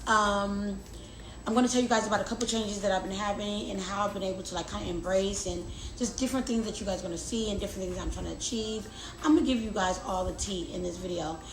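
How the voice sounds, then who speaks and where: A woman's voice: mid-range voice, fast. A young adult woman vlogger chatting casually and confidingly to her viewers, often repeating words for emphasis. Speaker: lifestyle vlogger. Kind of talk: casual confiding chat to camera. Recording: roomy echo, clear background noise.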